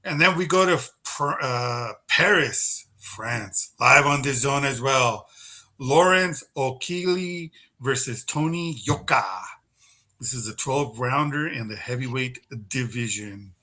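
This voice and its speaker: A man's voice: mid-range voice, slow pace, sharp tone. A man co-hosting a fight podcast, running down the weekend's events in a casual, laid-back way, often saying bro. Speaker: podcast co-host.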